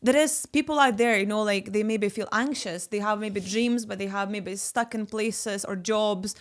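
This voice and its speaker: Female host, Lithuanian accent, English not her first language, friendly and giggly, mid-pitched. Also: normal speed. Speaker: podcast host. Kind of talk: casual podcast interview.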